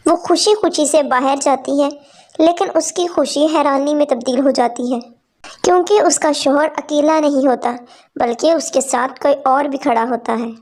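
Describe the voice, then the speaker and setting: A woman with a high voice tells a cartoon story. Her tone stays plain and steady, a storybook narrator.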